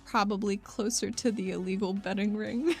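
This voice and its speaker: A woman's voice: medium pitch, steady tone, moderate pace, gravelly, darkish tone, slightly rough, soft background music. A woman chatting casually as a tabletop game player, describing what her character does in a playful, informal way. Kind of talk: casual in-character planning and chat.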